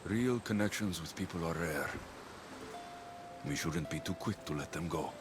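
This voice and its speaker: A man's voice: low voice, normal speed, noisy background, close to an everyday voice. An actor playing a tender young warrior and father in a video game. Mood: earnest, soft. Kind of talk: video game dramatic acting.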